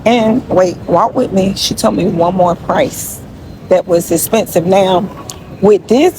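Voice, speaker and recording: A woman talking casually to her followers in an emphatic, folksy way, as if showing them around. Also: lowish voice. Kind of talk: casual complaint vlog to followers. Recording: noisy ambient background.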